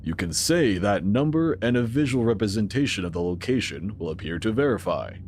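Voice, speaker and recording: Man acting deep-voiced, animated sci-fi organization founder. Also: fairly quick, a little hiss or hum, lightly acted. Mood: instructive, assured. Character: male founder of a sci-fi organization, calmly authoritative. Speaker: actor in a full-cast audio drama. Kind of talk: sci-fi audio drama acting.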